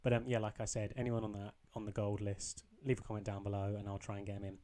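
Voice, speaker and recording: Young guy a bit croaky, lowish voice, rambly chill game commentary, lots of ums. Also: fast. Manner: relaxed, rambling, frequent ums and restarts. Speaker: gaming channel host. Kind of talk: casual gameplay commentary.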